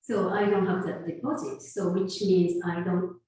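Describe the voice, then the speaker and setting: A woman in her fifties who grew up in Japan and speaks English with a Japanese accent. She talks in an unhurried, halting way, with soft laughs between thoughts, as a guest in an interview. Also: on the low side, fairly even intonation. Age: 50s. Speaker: guest, sound healer. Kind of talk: conversational interview. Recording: roomy echo, far from mic.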